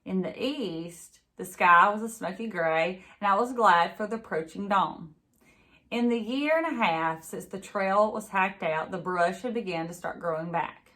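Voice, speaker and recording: A woman's voice: mid-range voice, bright, forceful tone. A woman reading a children's novel aloud expressively, in the manner of a teacher with a class. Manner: pauses to chat with young listeners.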